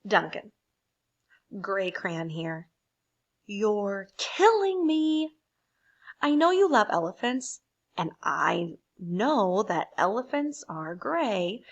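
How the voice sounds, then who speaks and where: An overburdened picture-book crayon, acted by a woman, grumbles wearily. Words are stretched out slowly, and the pitch swings between low sighing tones and high protest. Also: big pitch swings, gravelly, fairly bright tone, exaggerated voice. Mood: weary exasperation. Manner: weary, drawn-out. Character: tired, overburdened gray crayon, grumbling and exasperated.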